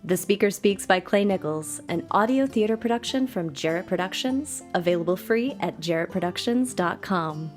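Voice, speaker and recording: Female announcer, lowish voice, scripted credit read, faint guitar music behind. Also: expressive, normal speed, hardly any pauses.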